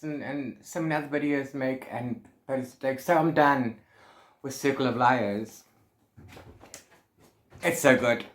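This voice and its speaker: A man chatting casually as a book vlogger, rambling and repeating words and phrases for emphasis. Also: medium pitch. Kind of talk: casual chat to camera about reading. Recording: clear background noise.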